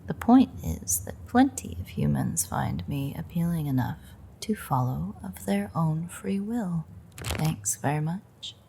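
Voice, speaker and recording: A woman playing a smug, predatory female vampire who teases and menaces her captive in an intimate, unhurried audio roleplay monologue. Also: low voice, animated, gravelly, dark tone, slight background noise, exaggerated voice. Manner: teasing, sardonic, unhurried, menacing.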